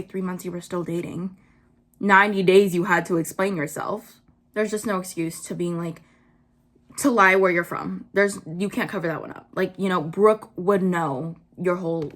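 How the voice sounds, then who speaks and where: A young woman doing casual commentary, blunt and full of "like" fillers, her pitch jumping up when she gets worked up. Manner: blunt, swears, many "like" fillers. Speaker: vlogger.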